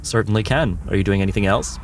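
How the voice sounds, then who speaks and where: A man narrating as game master in a tabletop game, describing monsters and results calmly. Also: lowish voice, fast talker, soft background music.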